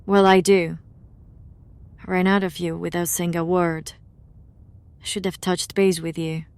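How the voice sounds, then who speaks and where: Woman playing gentle reserved friend with secrets in an audio drama, clean smooth voice, a bit low. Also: flat delivery, unhurried. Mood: apologetic, earnest. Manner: earnest, gentle wording.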